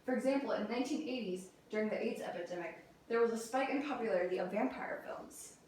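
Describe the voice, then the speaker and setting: Young female student speaker, higher-pitched, presenting a rehearsed persuasive talk in a very reverberant room. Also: quick pace, darkish tone. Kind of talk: prepared persuasive classroom speech. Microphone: distant camera or room mic. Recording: very echoey room, distant mic, faint noise.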